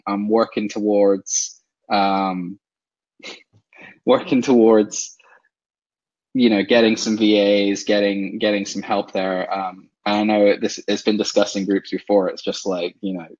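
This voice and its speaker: English man, low voice, rambling. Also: lo-fi sound. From England. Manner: rambling, many fillers and soft laughs. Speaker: interview guest, online seller. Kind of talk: casual interview chat.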